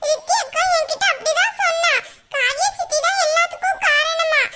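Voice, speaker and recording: Tattling little girl, very high and bright, voiced by a woman. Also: brisk. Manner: eager, tattling. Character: chatty little girl telling tales.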